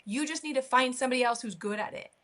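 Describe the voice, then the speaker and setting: A woman's voice: high-pitched, quick pace, fairly bright tone. A woman from Nebraska hosting a podcast, chatting casually and affirming her guest, with rambling anecdotes. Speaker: podcast host. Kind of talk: casual interview chat.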